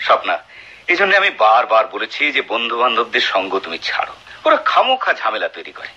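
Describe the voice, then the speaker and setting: Cruel husband, hard cutting voice, swooping intonation, sneering taunts, radio drama, dull recording. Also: male voice, medium pitch, wildly swooping pitch, quick pace, husky, full character voice. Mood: impatient, hard. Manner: sneering, drawling taunts and sharp commands. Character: cruel, contemptuous husband with a violent temper. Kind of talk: Bengali radio drama acting.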